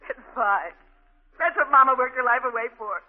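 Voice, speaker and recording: An actress playing an anxious, protective young working-class big sister in a 1940s radio drama, pleading and urgent. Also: female voice, on the high side, expressive, normal speed, natural voice. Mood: scolding, distraught. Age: young adult. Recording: tinny, band-limited 1940s radio recording.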